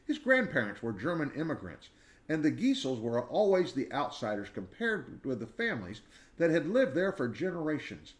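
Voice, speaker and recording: A man reading a children's book aloud in an expressive storytelling style. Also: on the high side, moderate pace.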